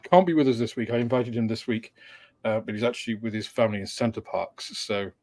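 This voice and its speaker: A man's voice: lowish voice, fast, darkish tone. A man hosting a casual livestream chat, welcoming viewers in a meandering, hesitant way with frequent filler sounds and light chuckles. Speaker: host of a model-building livestream.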